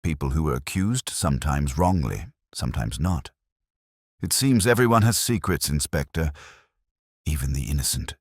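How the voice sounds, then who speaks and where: A man's voice: rumbling low, lively intonation, normal speed, husky, dry recording, clean studio sound, heavily acted. Male reader as uneasy woman in a mystery tale: guarded, hesitant, secretive, varied intonation. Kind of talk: dialogue in a narrated mystery story.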